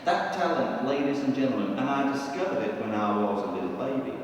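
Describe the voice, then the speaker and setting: A man's voice: mid-range voice, animated, natural voice. A man playing the devil's messenger in a theatrical stage monologue, with a Texan accent and a grandiose, sly, emphatic preacher's delivery. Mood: boastful showman. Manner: grandiose, emphatic, drawn-out repetitions for effect. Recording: echoey hall from far off, faint noise.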